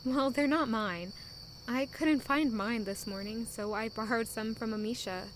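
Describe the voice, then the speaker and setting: A woman's voice: on the high side, a little slow, a little hiss or hum, natural voice, low-quality recording. A woman playing a caring young maid. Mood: gentle. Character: young woman maid, kind and warm-hearted. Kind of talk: audio drama acting.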